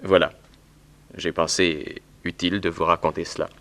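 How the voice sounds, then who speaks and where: An actor playing an earnest, polite veterinarian who gives deliberate, orderly testimony in a 1960s French radio drama. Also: male voice, deep voice, level tone, creaky, pushed and bright, full character voice. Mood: earnest, helpful. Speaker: actor in a detective radio serial.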